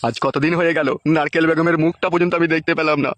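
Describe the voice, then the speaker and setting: A man's voice: fairly high pitch, steady tone, racing, a bit bright, faint music behind, lightly acted. A man voicing a lovesick, pleading older village man in a comedy cartoon, plaintive and melodramatic. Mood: impatient longing.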